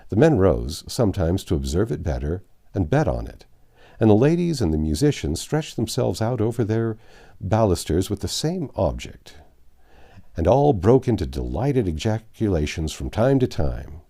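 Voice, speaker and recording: A low-voiced man reads a story aloud as an audiobook narrator. His tone is mellow with plenty of gravel, and he lingers theatrically on phrases with dramatic pauses. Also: very animated. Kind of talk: expressive storybook reading aloud.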